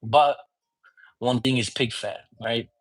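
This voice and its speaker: A man talks through spiritual practice in a loose, conversational teaching style. He drops Spanish words into his English and keeps checking in with "you get me?" His pitch is in the ordinary middle range. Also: steady tone, takes it slowly, slightly rough. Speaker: priest teaching divination. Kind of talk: casual teaching talk.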